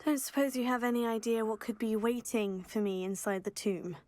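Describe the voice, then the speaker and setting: A woman's voice: fairly high pitch, fairly even intonation, a little fast, darkish tone, lightly acted. A young woman playing a brave, curious teenage witch in a game, composed and inquisitive. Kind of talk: scripted game dialogue.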